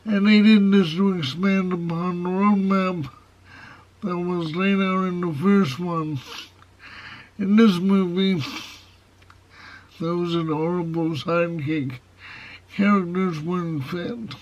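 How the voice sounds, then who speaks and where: A man's voice: high voice, steady tone, a little mellow. A man with a nasal, foghorn-like voice reviewing a movie in a casual video. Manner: slow, measured delivery with plain opinions. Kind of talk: casual film review to camera. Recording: dull, lo-fi sound.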